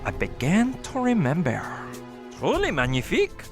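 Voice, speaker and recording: A man's voice: high voice, wildly swooping pitch, normal speed, faint music behind, heavily acted. An actor with a French accent playing a cheery, absent-minded male professor in a game, with a bouncy, sing-song delivery. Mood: airy.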